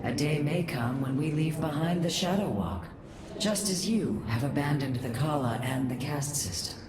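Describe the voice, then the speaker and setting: A woman playing a wise, grave alien matriarch in a video game, speaking in a formal, measured way. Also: deep voice, animated, a crowd in the background. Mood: grave, reflective. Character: wise alien matriarch, grave, reflective dark Templar leader. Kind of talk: dramatic video game voice acting. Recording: echoey, distant, loud ambient noise.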